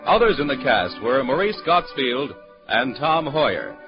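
A man's voice: mid-range voice, sing-song, unhurried. A man announcing scripted credits as a network announcer in a formal 1940s radio style. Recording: muffled 1940s radio broadcast, faint music.

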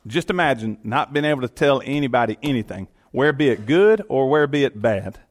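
A man's voice: medium pitch, lively intonation, brisk. Earnest Georgia man telling his story. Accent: Southern US, Georgia. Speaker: county commission chairman. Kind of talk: personal testimony to an audience.

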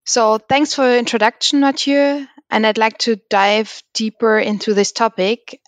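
A woman's voice: mid-range voice, no echo. A woman interviewer putting prepared questions to a guest.

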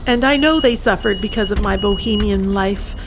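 An actress playing an aging bohemian painter, wry, blunt and regretful, in an audio play. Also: female voice, medium pitch, normal speed, noisy background, natural voice. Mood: frank, rueful. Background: bus or vehicle ambience.

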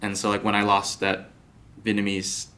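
A man's voice: lowish voice, monotone, moderate pace, sharp tone. A young man from the United States, a podcast guest, answering thoughtfully with many fillers and restarts. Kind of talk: podcast interview.

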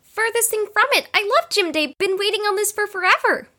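An actress playing a bubbly, childlike, sporty chatterbox in an audio drama, excitable and chirpy. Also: female voice, very high-pitched, a little fast. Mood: cheerful.

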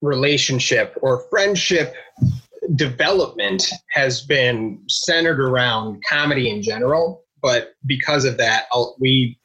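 A man's voice: mid-range voice, a little slow, pushed and bright, breathy edge, poor audio. Rambling male podcast co-host. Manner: rambling, self-deprecating. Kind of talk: casual banter.

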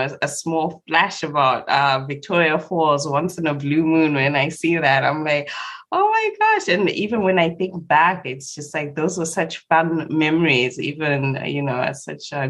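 A young adult woman from Zimbabwe telling travel stories in casual chat, laughing often and pausing with many fillers. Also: low voice, fairly bright tone.

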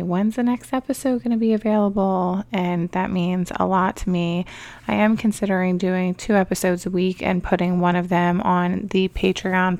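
A woman hosting a motivational podcast in an upbeat, encouraging, chatty way, her voice slightly raspy from a cold. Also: on the low side, no room sound. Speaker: podcast host.

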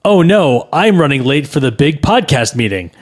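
A man's voice: on the high side, very animated, normal speed. A man playing himself as an eager, self-satisfied young hero in a comedy table read. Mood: cocky, breezy.

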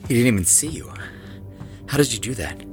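A man's voice: mid-range voice, animated, a little fast, natural voice. An actor playing a cocky, intense young man who leads a rock band, in a horror audio drama. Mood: curious, uneasy. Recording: voice over prominent background music.

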